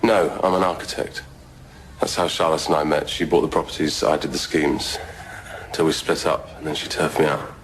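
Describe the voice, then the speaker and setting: A man's voice: very deep voice, fairly even intonation, normal speed, a little hiss or hum, poor audio. A man playing a weary, bitter man in his forties in an audio drama, curt and sardonic. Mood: weary, defensive. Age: 40s.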